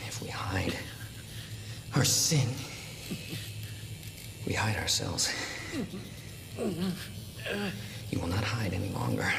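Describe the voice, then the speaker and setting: Wildly swinging sadistic cult zealot. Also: male voice, lowish voice, huge pitch swings, extremely slow, gravelly, long pauses, noisy background, exaggerated voice. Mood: menacing, hushed. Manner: theatrical, swinging from whisper to shout. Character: sadistic male cult zealot, theatrical fire-and-brimstone villain. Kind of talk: video game cutscene acting. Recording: roomy echo under loud music.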